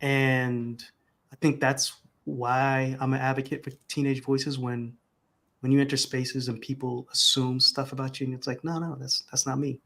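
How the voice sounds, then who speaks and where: A man's voice: medium pitch, flat delivery, normal speed, vocal fry, a bit bright. A man who grew up in America, a podcast guest speaking reflectively and conversationally, restarting his sentences often.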